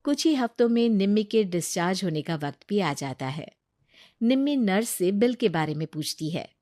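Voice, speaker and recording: A woman narrating a scripted moral story in an even storytelling manner. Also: medium pitch, normal speed, no echo, clean studio sound.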